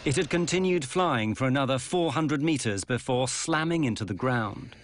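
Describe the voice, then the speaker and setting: A man narrating a documentary from a script, in a measured, dramatic manner. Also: medium pitch, a little hiss or hum. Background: documentary music.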